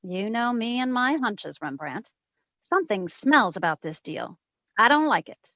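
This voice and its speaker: A woman playing a sharp, wry private investigator in an old-time radio detective drama, narrating and trading teasing endearments. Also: on the high side, sing-song, unhurried, no echo, full character voice. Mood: fond, suspicious.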